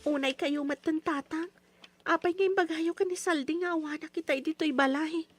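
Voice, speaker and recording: A woman's voice: sits high, steady tone, moderate pace, soft background music, lightly acted. A woman playing a principled, defiant young daughter in a radio drama, with an Ilocano accent and an insistent, pleading manner.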